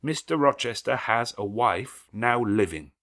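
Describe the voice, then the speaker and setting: A man voicing a resolute, formal gentleman in an audiobook, speaking distinctly, calmly and steadily as an accuser. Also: mid-range voice, pitched above the performer's own voice. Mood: firm, calm. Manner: distinct, calm, steady, deliberate. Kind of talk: audiobook character acting.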